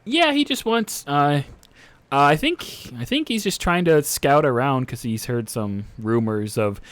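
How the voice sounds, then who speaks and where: A man's voice: fairly high pitch, lively intonation, measured pace, fairly bright tone, no room sound. A man doing a chatty female elf barkeep. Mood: friendly. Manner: hesitant, lots of fillers.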